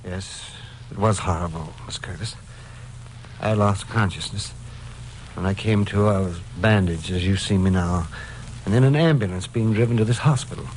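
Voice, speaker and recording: An actor playing a timid, desperate victim in an old-time radio crime drama, hesitant and pleading. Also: male voice, low-pitched, moderate pace, a little mellow, close to an everyday voice. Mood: subdued recounting. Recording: noisy old radio recording.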